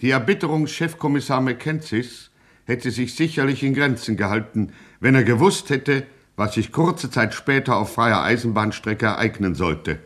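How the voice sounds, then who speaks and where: An actor playing a theatrical, mock-solemn narrator of a satirical gangster radio saga. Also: male voice, medium pitch, moderate pace, a bit bright, natural voice. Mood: wry. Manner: theatrical, tongue-in-cheek solemn. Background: music.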